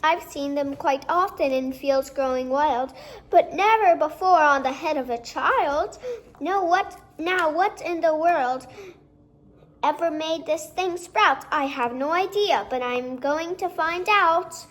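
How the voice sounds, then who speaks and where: Woman as a fussy, high-pitched school principal. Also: takes it slowly, a bit bright. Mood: astonished. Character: wise, self-important male school principal, earnest and fussy.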